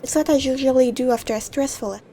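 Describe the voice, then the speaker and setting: High-voiced woman reading a story game aloud. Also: fast, mellow. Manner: stumbles over words while reading. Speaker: gamer reading a visual novel aloud. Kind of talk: dramatic read-aloud of game narration.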